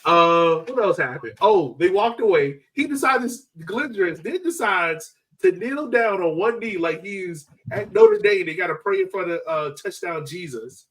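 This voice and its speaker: A man with a fairly high voice talks sports casually. His delivery swings widely in pitch as he recounts plays with excitable energy, like a podcast host among friends. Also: unhurried, hardly any pauses, poor audio. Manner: excitable, animated storytelling.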